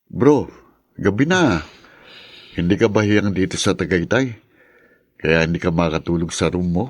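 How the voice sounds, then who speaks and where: Deep-voiced, easygoing friend. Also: male voice, moderate pace, no room sound. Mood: calm, reassuring. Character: easygoing, reassuring male friend. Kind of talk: Tagalog radio drama acting.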